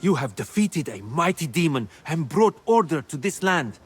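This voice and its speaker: A man voicing a wise ancient physician spirit, speaking in a solemn, grave and measured way in game dialogue. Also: a little high, very animated, breathy edge, soft background music.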